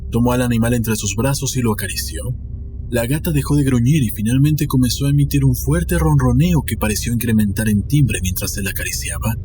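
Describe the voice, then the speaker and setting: A man's voice: mid-range voice, a little fast, background noise. A man reading a scripted story aloud as a dramatic audiobook narrator. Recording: music behind the voice. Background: music.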